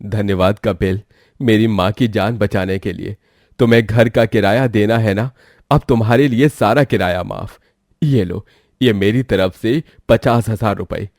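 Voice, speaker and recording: A man voicing a generous, grateful older village landlord in a cartoon moral story, speaking in a measured, magnanimous way. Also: lowish voice, a little mellow, dry recording, studio quality.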